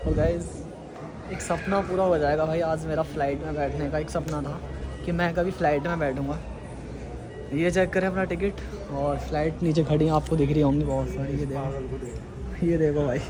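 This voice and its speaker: A young man from India vlogging his trip through an airport onto a plane, chatty and excited, talking to his viewers as guys and mixing in English words. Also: fairly high pitch, normal speed, a crowd in the background, poor audio. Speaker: travel vlogger. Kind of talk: excited handheld travel vlog. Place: airport terminal and plane cabin, indoors.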